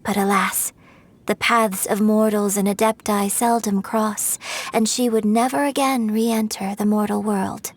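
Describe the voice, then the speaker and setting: A woman voicing a graceful young opera singer in a video game, telling an old legend in a poised, measured way. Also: medium pitch, steady tone, lightly acted.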